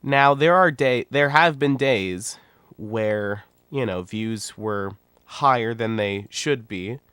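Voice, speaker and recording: A man's voice: mid-range voice, slow, a bit bright, clear, resonant tone, no echo. A man in his twenties with a nasal, stuffy voice from a cold, talking bluntly and rambling in casual livestream commentary. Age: 20s. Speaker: YouTuber and streamer.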